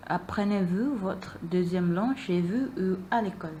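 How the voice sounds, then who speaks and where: A woman's voice: mid-range voice, normal speed, dark tone, slight background noise. A young woman, a non-native speaker whose first language is Malay, asking interview questions hesitantly, with many fillers and repeated answers. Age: young adult. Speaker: student interviewer.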